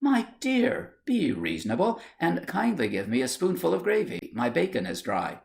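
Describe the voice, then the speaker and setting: A man's voice: on the high side, huge pitch swings, normal speed, vocal fry, voice pushed up, heavily acted. A man voicing a placid, easygoing Victorian husband in a storybook, mild, polite and unruffled. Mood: mildly puzzled.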